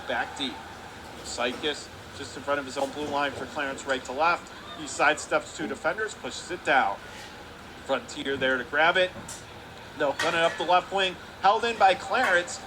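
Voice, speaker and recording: A man calling live hockey play-by-play on radio, his calls climbing into shouts when the play heats up. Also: fairly high pitch. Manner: rapid calls rising to shouts on big plays. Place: hockey arena broadcast booth. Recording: noisy arena background.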